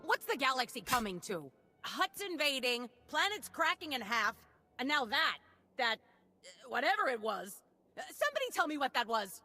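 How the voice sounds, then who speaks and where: An actress playing a frazzled, sarcastic town mayor in a video game, with a blustering, folksy, exclaiming delivery. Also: female voice, high voice, very animated, moderate pace, pushed and bright, faint music behind, heavily acted. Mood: flustered. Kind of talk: video game character acting.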